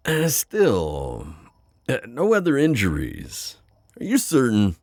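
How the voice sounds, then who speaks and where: Deep, gravelly, suave demon played by a man. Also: dry recording, studio quality, heavily acted. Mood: shrewd, bargaining. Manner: flirty, sardonic, hesitant pauses and drawn-out words. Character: suave, skeptical male demon buyer at a shady auction. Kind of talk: ASMR audio roleplay acting.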